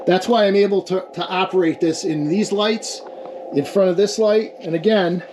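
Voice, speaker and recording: Higher-pitched man demonstrating gear, noisy recording. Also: normal speed. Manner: explanatory, checks in with "okay" and "right". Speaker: amateur radio experimenter demonstrating equipment. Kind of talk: informal hands-on technical demonstration. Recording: clear steady background noise.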